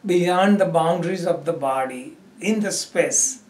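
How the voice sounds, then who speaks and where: A man leading a guided meditation as a yoga teacher, giving instruction in short phrases with pauses. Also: on the high side. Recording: roomy echo, distant microphone.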